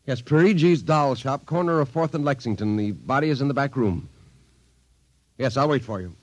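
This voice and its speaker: An actor in a 1950s radio drama playing a proud, rattled army colonel, clipped and forceful in his disbelief. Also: mid-range voice, moderate pace, natural voice. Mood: agitated, desperate.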